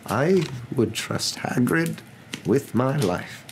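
A man playing a wise old wizard, calm, firm and measured, in a storybook reading. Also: mid-range voice, vocal fry, a little hiss or hum, pitched above the performer's own voice. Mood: grave.

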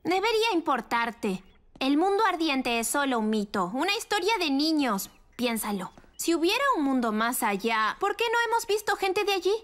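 A woman's voice: high voice, sing-song, normal speed, a bit bright. A woman with a Latin American accent playing a spirited, sensible teenage girl in a cartoon dub, teasing and assertive. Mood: reasoning, concerned. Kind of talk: animated series dub acting. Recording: music behind.

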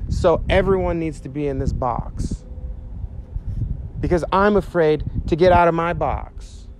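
Earnest animated preacher man outdoors. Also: a little high, a little hiss or hum. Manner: earnest, animated, gesturing freely with casual fillers. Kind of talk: outdoor sermon to camera. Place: outdoors by a chain-link fence on a sunny day. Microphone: handheld mic, medium camera shot.

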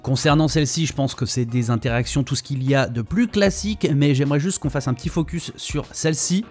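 A man's voice: mid-range voice, brisk, darkish tone. A man hosting a gaming news video, giving casual, speculative commentary. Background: music.

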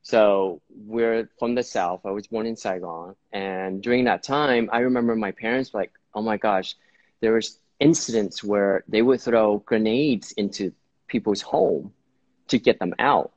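A man in his forties from Vietnam, a hairdresser being interviewed on a live video call, talking casually and haltingly, often repeating words. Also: lowish voice, a little mellow. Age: 40s. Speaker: guest hairdresser. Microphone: video call on phone. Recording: dull lo-fi call audio.

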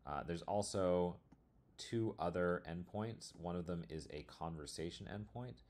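Guy with a super low voice walking through a software demo, informal and explainy. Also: a little slow. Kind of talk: casual screencast tutorial walkthrough.

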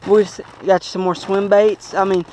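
A young man giving a playful, teasing tour of a bass boat outdoors on a lake, laughing often, recorded on an action camera. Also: level tone, moderate pace, darkish tone, a little hiss or hum, rough recording. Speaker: vlog host.

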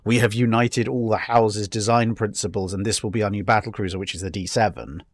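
Man, lowish voice, casual chat, discursive, wryly amused, dry recording. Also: steady tone, brisk, no echo. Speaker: podcast guest answering fan questions.

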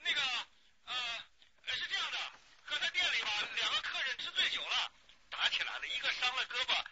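An actor playing a timid, frightened son in a radio drama, stammering and evasive. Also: male voice, very high voice, lively intonation, fairly quick, noisy background. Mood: nervous, covering up. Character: frightened, timid son hiding trouble from his father. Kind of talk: Chinese radio drama acting. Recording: dull audio under loud music.

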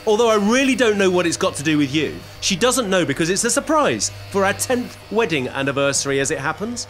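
Casting: man to play put-upon husband in a salon farce, hesitant, word-repeating, lively swings of intonation, audio play. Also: high voice, a little fast, faint music behind. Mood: defensive. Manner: hesitant, stammering, repeats words. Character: polite, put-upon married man, exasperated salon customer. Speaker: actor in an amateur audio play. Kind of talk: comedic radio-play acting.

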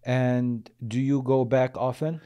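A young man hosting a podcast, asking calm, open questions and adding short affirmations. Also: medium pitch, slow pace, smooth. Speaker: podcast host. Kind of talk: casual interview hosting. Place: small podcast studio indoors. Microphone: boom-arm studio mic.

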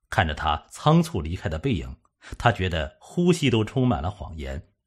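A man narrating a suspense novel as an audiobook, in a measured, dramatic storytelling manner. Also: fairly low pitch, breathy edge.

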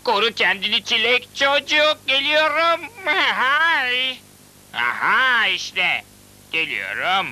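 Harsh, piercing cartoon villain. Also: male voice, very high voice, very animated, very slow, sharp tone, heavily acted. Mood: gloating, excited. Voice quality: harsh, crowing voice. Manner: theatrical, gloating. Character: scheming, boastful villain cook. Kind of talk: dubbed cartoon acting. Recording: noisy old VCD cartoon dub.

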